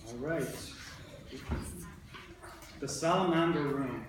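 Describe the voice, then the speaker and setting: A man with a fairly high voice teaches young children through an interactive read-aloud. He instructs, rephrases and puts questions to his listeners. The recording is distant and strongly reverberant, with clear background noise. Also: takes it slowly. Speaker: elementary school teacher. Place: indoor classroom. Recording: roomy, echoey, distant mic with background noise.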